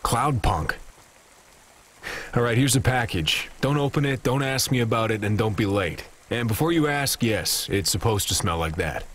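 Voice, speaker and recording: An actor playing a curt, impatient warehouse man handing out packages in a game, gruff and brusque. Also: mid-range voice, animated, normal speed, a bit bright, slight background noise, lightly acted. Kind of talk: scripted game dialogue.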